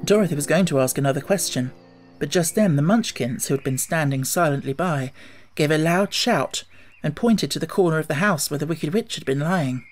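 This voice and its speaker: A man's voice: on the high side, brisk, soft background music. A man reading a children's audiobook as scripted narration.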